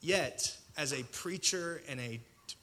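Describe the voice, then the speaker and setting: Bright-voiced male preacher with a slight stutter. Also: fairly high pitch. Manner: colloquial, self-deprecating, dramatic pauses and emphasis. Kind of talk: personal testimony sermon to an audience.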